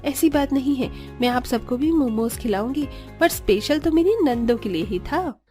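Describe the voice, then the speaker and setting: A woman's voice: sits high, expressive, moderate pace, a little mellow, faint music behind. A woman voicing a cheerful, affectionate young bride in a cartoon family story.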